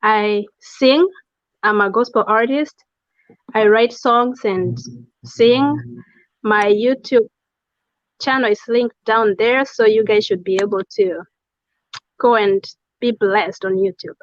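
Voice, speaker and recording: A woman's voice: mid-range voice, slow, pauses often, dry recording. A woman speaking as an interview guest over a video call, brief and a little hesitant. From Kenya. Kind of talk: casual interview. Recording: dry, dull lo-fi sound.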